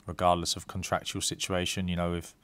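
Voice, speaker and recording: A man in his thirties, a football manager at a press conference, giving measured answers with frequent ums and restarts into a desk mic. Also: deep voice, completely flat delivery, fairly bright tone, smooth. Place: club press room.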